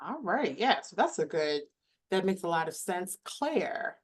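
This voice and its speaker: Woman moderator, easygoing chatty delivery, slightly low voice. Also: big pitch swings, measured pace. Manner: loose and conversational, many fillers. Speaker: panel moderator. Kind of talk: casual panel discussion.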